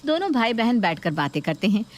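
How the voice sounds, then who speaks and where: A woman's voice: on the high side, big pitch swings, a little fast, a little hiss or hum. A woman narrating a scripted family story.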